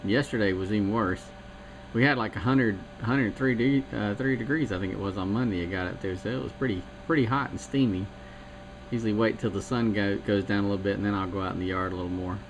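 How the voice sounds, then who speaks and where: A man's voice: on the low side, fast talker, slight background noise, low-quality recording. A man from South Carolina chatting casually on CB radio, rambling with fillers about old radios and the weather. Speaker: CB radio operator.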